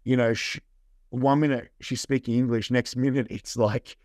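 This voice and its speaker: A man's voice: mid-range voice, steady tone, moderate pace, a little mellow, no echo. Laid-back Australian man, dry sound. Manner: laid-back, rambling with fillers. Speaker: guest. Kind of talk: casual interview.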